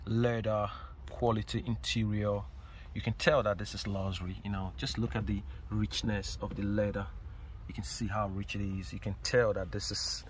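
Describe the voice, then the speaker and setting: A man in his thirties walking a car auction lot, filming himself on a phone and talking casually and excitedly about the cars. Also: lowish voice. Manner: excited, chatty, exclaiming over cars. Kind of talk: casual selfie vlog. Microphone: phone camera at arm's length. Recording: outdoor phone audio with background noise.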